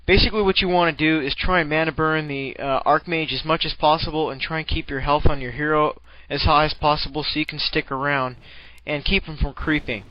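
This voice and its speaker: A man's voice: on the high side, steady tone, a little fast, hardly any pauses, no echo, highs cut off. A man giving casual, rambling commentary on a game replay, with profane, jokey slang.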